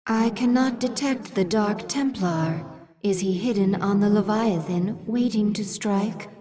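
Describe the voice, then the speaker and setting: An actress playing a calm, dutiful female alien advisor giving briefings. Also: mid-range voice, natural voice. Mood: measured. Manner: measured briefing delivery. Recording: game cinematic with music.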